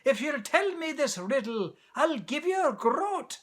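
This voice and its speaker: A man's voice: very high-pitched, sing-song, measured pace, fairly bright tone, pitched above the performer's own voice, heavily acted. A man voicing a cheeky little red squirrel in a children's storybook, chanting riddles in an impertinent, teasing sing-song.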